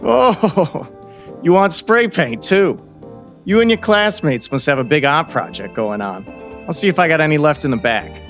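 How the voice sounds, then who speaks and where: A man's voice: a little high, expressive, moderate pace, faint music behind. A man playing a chatty, nosy small-town shopkeeper in an audio drama, with a folksy, overfriendly salesman's manner. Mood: jovial, nosy. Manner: overly forthright, folksy, salesman-like.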